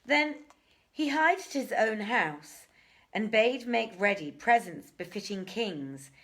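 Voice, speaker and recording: Slow, highly expressive woman storyteller. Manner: theatrical, measured with deliberate pauses. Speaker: storyteller reading aloud. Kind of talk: dramatic literary reading of archaic tales.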